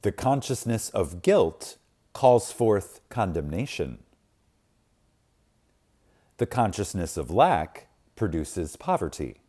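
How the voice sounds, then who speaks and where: A man with a dark, relaxed voice gives a reverent reading of a spiritual text. His intonation swings widely for dramatic emphasis, and he moves slowly and solemnly, leaving deliberate pauses between phrases. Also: deep voice. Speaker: audiobook reader.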